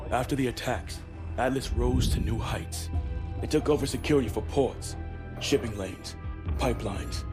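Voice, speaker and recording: A man playing a grave commander of a secret military unit in a game cutscene, briefing in a measured, clipped way. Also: mid-range voice, lightly acted. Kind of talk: video game cutscene acting.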